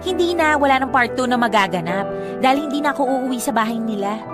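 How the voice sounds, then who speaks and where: A proud, jobless younger sister with a Filipino accent in a radio drama. Her voice sits fairly high, combative and quick to answer back with sarcasm. Music runs clearly behind her. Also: animated. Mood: defiant, bitter. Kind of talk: Tagalog radio drama acting.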